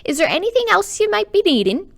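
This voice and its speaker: Woman putting on high-pitched, obliging male steward. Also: very animated, quick pace, creaky, fairly bright tone, exaggerated voice. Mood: polite offer. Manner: polite, servile. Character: obliging male ship steward, eager to serve.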